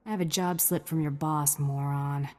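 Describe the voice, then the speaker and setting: A woman playing a cocky, principled, dry-witted alien bounty hunter in a video game, with a husky voice. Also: low-pitched, fairly even intonation, moderate pace, darkish tone, in a large hall, lightly acted. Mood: cocky, teasing.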